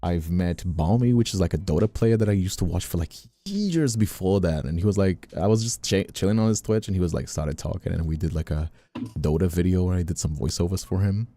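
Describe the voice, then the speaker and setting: A man's voice: sits low, fairly quick, warm tone. A man from Brazil chatting casually and informally as a streamer on a livestream, repeating himself for emphasis. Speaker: streamer and voice actor.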